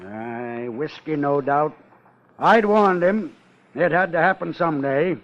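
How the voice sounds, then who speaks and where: An actor playing a gruff, suspicious whaling captain in a 1950s radio drama, with salty, challenging talk. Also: male voice, on the high side, lively intonation, slow. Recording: muffled 1950 radio broadcast.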